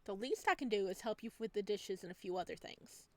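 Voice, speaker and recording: A woman's voice: mid-range voice, fast, dry recording, close to an everyday voice. A woman voicing an enthusiastic, chatty traveler staying as a houseguest in a visual novel, earnest and bubbly. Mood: insistent. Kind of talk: visual novel protagonist reading.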